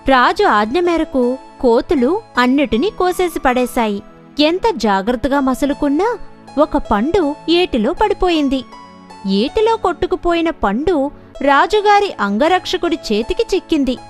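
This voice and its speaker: A woman's voice: high-pitched, sing-song, unhurried, soft background music. A woman narrating a scripted children's story as a storyteller.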